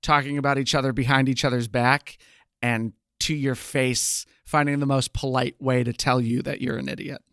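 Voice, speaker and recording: A man's voice: mid-range voice, level tone, moderate pace, creaky, a bit bright, clean tone, no echo, clean studio sound. A man co-hosting a podcast, chatting casually about television. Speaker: podcast co-host. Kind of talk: casual TV review podcast chat.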